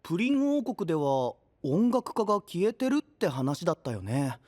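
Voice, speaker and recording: Upbeat young anime knight, casual and friendly, very animated delivery. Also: male voice, fairly high pitch, moderate pace, no echo, clean studio sound. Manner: breezy, casual, friendly slangy talk. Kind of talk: anime-style audio drama acting.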